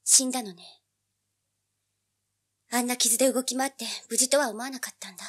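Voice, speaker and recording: A woman's voice: on the high side, a little fast, breathy edge. An actress playing a brash, money-minded teenage girl in an audio drama, with a blunt, snappy manner. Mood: realising, subdued.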